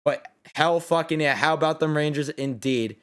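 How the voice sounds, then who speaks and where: A young man runs through a late-night post-game reaction, blunt and opinionated, with a fair amount of swearing. He sounds worn out, talking in a somewhat high voice with a smooth, clear tone. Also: moderate pace, a bit bright. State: tired and losing his voice. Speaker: sports reaction host.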